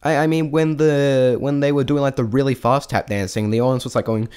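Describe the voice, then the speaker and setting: A man's voice: medium pitch, steady tone, a little fast, darkish tone, smooth. A man giving a casual, unscripted spoken review, rambling and thinking aloud in a self-deprecating way. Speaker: amateur vlog reviewer.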